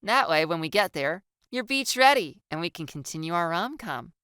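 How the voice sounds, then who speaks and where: Playful, excitable young woman, played by a woman narrator: teasing, theatrical, lively and crisp, for audiobook dialogue. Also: on the low side, normal speed, no room sound, studio quality, pitched above the performer's own voice. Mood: cheeky, coaxing.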